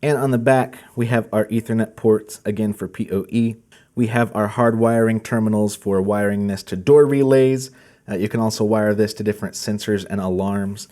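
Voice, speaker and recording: Guy hosting a casual unboxing vid, lowish mellow relaxed voice. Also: moderate pace. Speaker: host of a product channel. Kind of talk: casual product unboxing presentation.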